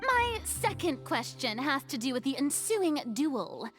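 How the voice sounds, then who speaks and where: An actress playing a formal, theatrical young woman who questions people deliberately in a video game courtroom drama. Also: sits high, big pitch swings, fairly bright tone, faint music behind, full character voice. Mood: measured, probing.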